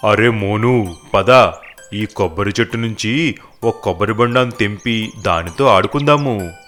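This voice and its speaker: A man's voice: lowish voice, a little slow, a bit bright, soft background music, voice pushed down. A man voicing a loyal, friendly elephant in an animal fable for children. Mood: playful. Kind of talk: animal fable character dialogue.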